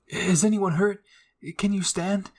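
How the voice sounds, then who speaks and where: A man's voice: sits high, fairly even intonation, unhurried, gravelly, a little mellow, pitched above the performer's own voice, exaggerated voice. A man voicing a stern, protective young woman soldier in an anime story. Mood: concerned. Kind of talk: anime fan-fiction roleplay.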